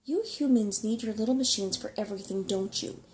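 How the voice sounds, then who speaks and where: A woman's voice: mid-range voice, fairly quick, a little mellow, a little hiss or hum, close to an everyday voice. A woman voicing a small, bossy, impatient female cat-faced creature in a storybook, with snippy, chiding delivery. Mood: scornful.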